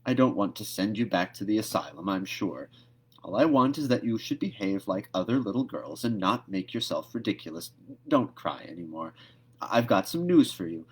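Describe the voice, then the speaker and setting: A man voicing a stern older woman who scolds a child briskly in a storybook read-aloud. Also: lowish voice, animated, slightly rough. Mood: brisk, softening.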